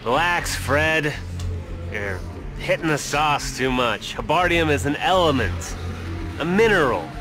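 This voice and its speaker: Fed up guy lecturing a drunk, highish voice, swoopy pitch, game cutscene. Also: very animated, a little slow, music in the background. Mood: exasperated. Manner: condescending, reasoning. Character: exasperated, scoffing male bar companion of a drunk. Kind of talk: video game cutscene acting.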